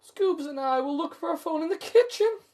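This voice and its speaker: A man voicing a hungry, cowardly, goofy young slacker in a drawling, excitable storybook cartoon voice. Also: extremely high pitch, moderate pace, pitched above the performer's own voice. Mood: hungry, eager. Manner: drawling, whiny, excitable, peppered with "like". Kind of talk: cartoon voice in live storybook reading.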